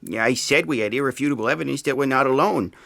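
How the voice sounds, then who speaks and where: A man's voice: medium pitch, quick pace, vocal fry, a bit bright. An actor playing an irritable, disgraced geologist in a comic audio drama, testy, sarcastic and pedantic as he explains himself. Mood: pedantic, earnest.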